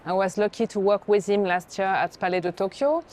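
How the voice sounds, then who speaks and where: A woman's voice: medium pitch, a little fast, darkish tone. A woman speaking as a senior curator in reflective, conversational commentary on art. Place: indoor art fair. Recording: faint fair background noise.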